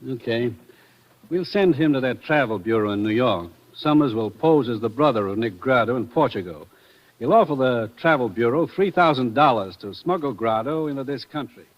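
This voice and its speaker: Man acting as government commissioner, urgent, anxious, mid-pitched voice, vintage radio drama delivery. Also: animated, moderate pace, lo-fi sound, close to an everyday voice. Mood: brisk, decisive.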